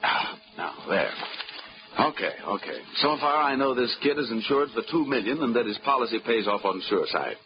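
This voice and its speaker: A man's voice: mid-range voice, expressive, normal speed, noisy background, close to an everyday voice. A man playing a breezy, wisecracking insurance investigator in a 1940s radio drama. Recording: dull old radio recording with music.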